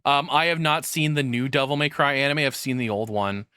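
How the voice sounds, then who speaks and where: A man reacts like a streamer, chatting casually and bluntly with plenty of swearing. His voice is bright and forward, recorded up close and very dry, with no room sound around it. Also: mid-range voice, brisk, smooth, dry recording.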